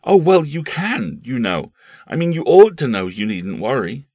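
Brief: man playing an overwrought young boy, higher than his own voice, slightly gravelly, eager and insistent. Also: sing-song, normal speed, mellow, dry recording, clean studio sound. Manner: pleading, insistent, feverish. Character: anxious intense young boy.

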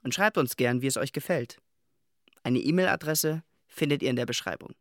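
A man's voice: mid-range voice, moderate pace, fairly bright tone, dry recording. Guy doing the podcast outro for kids, friendly, dry studio mic. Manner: friendly, addressing children. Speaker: podcast host. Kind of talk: scripted outro announcement.

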